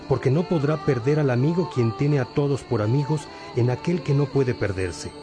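A man's voice: mid-range voice, moderate pace, music in the background, natural voice. An actor playing a wise, patient older priest who teaches his disciples reflectively in a religious radio drama. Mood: devout. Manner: reflective, didactic.

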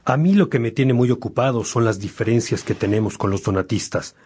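A man playing an earnest, reflective bishop in a religious radio drama, speaking in a measured, pastoral way. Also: mid-range voice, a little mellow, husky, lightly acted. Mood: troubled, earnest. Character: Saint Augustine, earnest reflective bishop among friends.

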